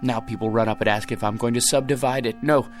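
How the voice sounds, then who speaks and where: An actor playing a wealthy middle-aged businessman who is tired of his money, with a gravelly, wistful way of talking in a radio drama. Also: mid-range voice, fairly even intonation, fast, soft background music, lightly acted. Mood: weary. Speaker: wealthy businessman George Hanley.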